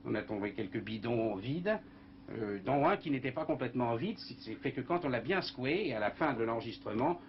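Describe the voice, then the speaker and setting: A man's voice: medium pitch, animated, a little fast. Composer guy rambling happily in an interview, does sound effects with his mouth, old muffled tape with a bit of hiss. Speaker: film composer. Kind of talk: casual interview. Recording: dull old broadcast recording with faint hiss.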